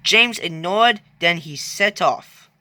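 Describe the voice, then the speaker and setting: A storyteller narrating a children's read-along, stumbling and halting over the text. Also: mid-range voice, animated, sharp tone.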